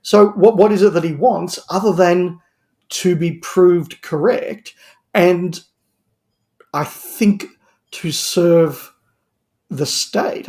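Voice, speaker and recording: A man's voice: fairly high pitch, vocal fry, darkish tone. A man discussing audio drama on a podcast, speaking emphatically and repeating words as he builds his argument. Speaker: podcast panelist.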